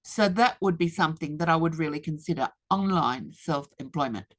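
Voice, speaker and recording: Measured older woman speaker. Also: low-pitched. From Australia. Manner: measured, deliberate delivery with emphatic pauses. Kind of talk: rehearsed presentation. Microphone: webinar computer mic.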